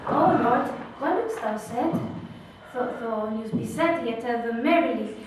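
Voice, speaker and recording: A woman's voice: on the high side, a little slow, natural voice. A young woman acting an eager girl in love who impatiently begs for news, in an amateur stage performance. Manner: pleading, insistent, rising with impatience. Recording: echoey, distant, noisy room.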